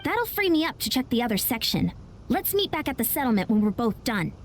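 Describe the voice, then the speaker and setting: A woman's voice: fairly high pitch, very animated, a little fast, a little hiss or hum. A woman voicing a small, confident, friendly fitness enthusiast in a video game, assured and upbeat as she explains. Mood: pleased, businesslike. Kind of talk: animated game voice acting.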